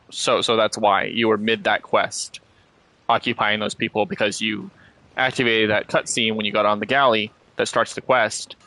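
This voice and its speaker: A man's voice: on the low side, bright, forceful tone, no echo. A man chatting casually over gameplay, explaining things to a fellow player step by step. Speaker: gaming stream companion explaining the game.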